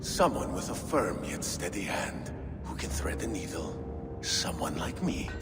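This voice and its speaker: Cruel crime boss, grandiose, poetic, deliberate, highly animated pitch, video game drama, music underneath. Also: male voice, heavily acted. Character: theatrical menacing male crime boss, devout and cruel. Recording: music behind the voice.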